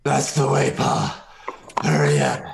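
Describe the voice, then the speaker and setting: Man as a creaky, impatient farm kid. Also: on the low side, sing-song, slow pace, heavy background noise, full character voice. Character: impatient, whining farm child urging Pa along. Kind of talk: amateur radio play reading.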